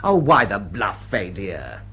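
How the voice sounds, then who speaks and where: A man's voice: lowish voice, sing-song, measured pace, bright, forceful tone, highs cut off, a little hiss or hum, full character voice. A man acting a suave, possessive aristocratic rake in classic stage drama, with a teasing, theatrical delivery. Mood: smug, insistent.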